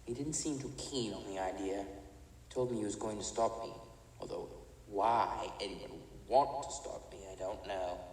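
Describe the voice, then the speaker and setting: An actor in an audio drama playing a remorseless older criminal chemist, speaking in a measured, haughty, matter-of-fact way. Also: male voice, lowish voice, a little mellow, close to an everyday voice. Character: cold, remorseless older male villain, an imprisoned mad chemist. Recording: echoey reverberant room, distant, faint noise.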